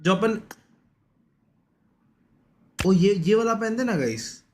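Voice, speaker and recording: A man's voice: high-pitched, lively intonation, takes it slowly, a little mellow, slight background noise. A man with an Indian accent chatting casually to viewers on a game stream, slangy and repetitive, often exclaiming and grumbling. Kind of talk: casual live stream chat with viewers while gaming.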